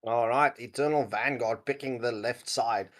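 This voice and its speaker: A man's voice: mid-range voice, normal speed, fairly bright tone. A man casting a mobile esports match, joking and laughing often between calls. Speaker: esports caster. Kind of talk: casual esports commentary.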